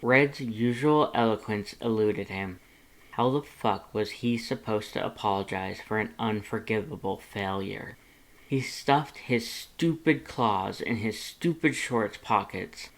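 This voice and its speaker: Expressive mid-pitched audiobook narrator. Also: a little slow. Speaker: fanfiction audiobook narrator and channel host. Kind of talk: expressive scripted story narration.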